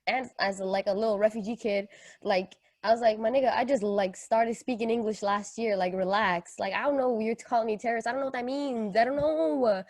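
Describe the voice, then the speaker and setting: A woman's voice: on the high side, steady tone, brisk, a little mellow, no echo. A young woman from Kenya, a podcast co-host telling personal stories in casual, slangy, jokey talk. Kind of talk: casual chat, personal storytelling.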